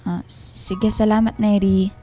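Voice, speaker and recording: A woman's voice: mid-range voice, flat delivery, unhurried, mellow, lightly acted. Homesick working mother played by a woman, radio drama, guarded and worried, music underneath. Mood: guarded, suspicious. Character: worried, homesick wife and mother working abroad, quietly suspicious. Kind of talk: Filipino radio drama acting. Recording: music behind the voice.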